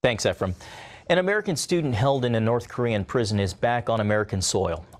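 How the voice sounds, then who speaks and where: A man anchoring a television news broadcast, emphatic and crisp. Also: mid-range voice, animated, a little fast.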